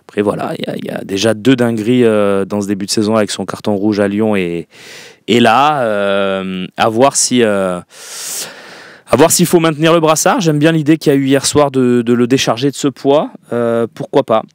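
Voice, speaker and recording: An opinionated man hosts a football call-in show, talking emphatically and leaning on fillers as he argues his points. His voice sits at a middle pitch and sounds close and dry. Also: normal speed, clean tone, no echo. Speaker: radio call-in show host. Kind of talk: casual football phone-in talk.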